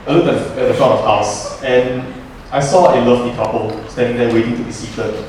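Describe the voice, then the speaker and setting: A man's voice: mid-range voice, fairly even intonation, fairly quick, darkish tone, slight background noise. A young man, a student presenter, talking to a class in a chatty, joking storytelling way. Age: young adult. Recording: roomy echo from far off.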